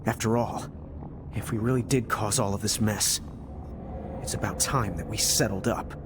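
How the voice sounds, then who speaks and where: A man's voice: lowish voice, normal speed, background noise, natural voice. A young man playing a brash, goofy teenage boy hero in a video game, slangy and exaggerated. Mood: determined. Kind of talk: animated video game voice acting. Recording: music behind the voice.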